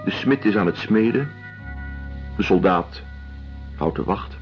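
Radio drama: a low-voiced, world-weary doctor, deliberate and detached, on a muffled lo-fi recording. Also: male voice, lively intonation, music in the background. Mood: grave, observant. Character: weary, detached doctor-writer documenting a penal colony. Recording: dull lo-fi radio play recording.

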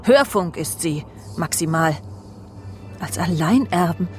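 An actress playing an evasive, self-absorbed TV journalist in prison, haughty and defensive with coy pleading, in a German radio crime drama. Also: female voice, fairly low pitch, lively intonation, takes it slowly, a little mellow, faint music behind. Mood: indignant, agitated.

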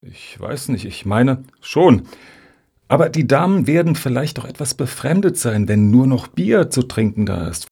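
An actor playing an unsure, deferential applicant in a satirical radio play, with a gravelly, husky voice and a hesitant manner. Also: male voice, mid-range voice, big pitch swings, normal speed, mellow, heavily acted. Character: hesitant, unsure male applicant for foundation money.

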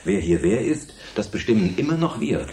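A man's voice: fairly low pitch, very animated, normal speed, warm tone. An actor playing a grumbling, domineering man in a science fiction radio play, speaking emphatically. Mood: incredulous, grumbling. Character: grumbling, domineering man confronting stubborn androids. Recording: echoey, background noise.

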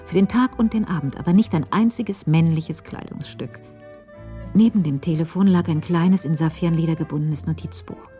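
A woman with a deep, dark-toned voice narrates a radio crime drama. Her delivery is measured and literary, with faint music behind.

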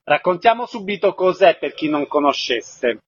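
A man's voice: on the high side, very animated, normal speed, husky. A man hosting a radio interview, introducing and questioning a guest. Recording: dry, band-limited, slightly dull audio.

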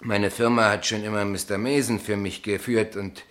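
A man's voice: on the low side, flat delivery, moderate pace, a bit bright, close to an everyday voice. An actor playing a naive, modest small-town businessman in a German radio play, speaking in a guileless, hesitant way. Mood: bemused, modest. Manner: guileless, hesitant, homely, stubborn.

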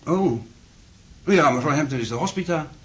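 A man's voice: on the high side, animated, moderate pace, close to an everyday voice, rough recording. An actor playing an agitated husband in a Dutch radio play, indignant and questioning, then soothing to his wife. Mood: puzzled, insistent. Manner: questioning, insistent.

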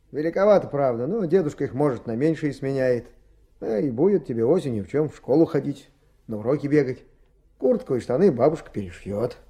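A fatherly foreman of a rafting crew in a 1970s radio play. His voice is mellow and fairly high for a man, and his talk is plain, brisk and practical.

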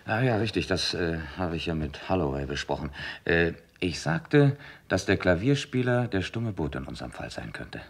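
A man playing a wry, middle-aged detective chief who muses aloud in a gravelly, husky voice in a vintage radio crime play. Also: low voice, big pitch swings, moderate pace, heavily acted. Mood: pondering. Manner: ponderous, musing aloud, dryly superior. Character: musing, wry Scotland Yard detective chief, male.

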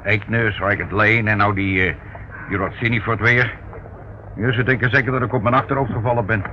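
Actor as a whining small-time crook, muffled recording. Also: male voice, fairly low pitch, fairly even intonation, normal speed, close to an everyday voice. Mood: aggrieved. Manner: protesting, pleading, hesitant. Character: small evasive henpecked crook, whining and indignant. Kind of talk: radio play acting. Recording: dull, faintly noisy old radio recording.

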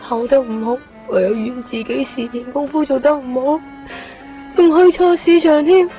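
A woman's voice: high voice, steady tone, moderate pace, soft background music, close to an everyday voice. A woman acting a blunt, wistful, matter-of-fact teenage schoolgirl in a Cantonese radio drama, sighing, with a Hong Kong accent. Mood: resigned, knowing. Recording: dull lo-fi radio recording.

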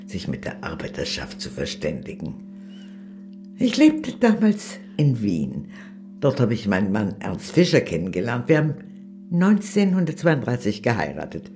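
An older actress playing a proud, worldly aristocratic communist ex-spy in a radio play, with a haughty, wry, theatrical manner. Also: female voice, very deep voice, warm tone, full character voice. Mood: amused, composed.

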